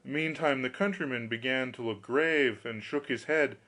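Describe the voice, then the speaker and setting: A man reading a fairy tale as an audiobook narrator, in a scripted, expressive storytelling style. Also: medium pitch, moderate pace.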